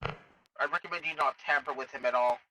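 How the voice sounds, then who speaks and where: Very bright, clipped male game roleplayer. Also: medium pitch, brisk, no room sound, low-quality recording. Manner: clipped, procedural, matter-of-fact. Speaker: roleplayer as facility guard. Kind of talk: improvised online game roleplay.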